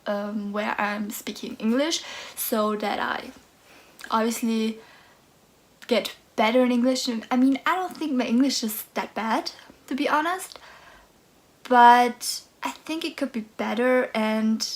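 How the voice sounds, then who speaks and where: Slow, soft-spoken young Austrian woman. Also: a little high. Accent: Austrian German. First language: German. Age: 20s. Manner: nervous, hesitant, soft-spoken. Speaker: book vlogger. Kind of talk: casual vlog chat.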